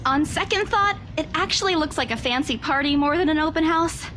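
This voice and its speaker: A woman's voice: high voice, brisk, a bit bright, slight background noise. A woman playing an exasperated, sarcastic young real estate agent in a visual novel, bantering emphatically. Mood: dry, exasperated.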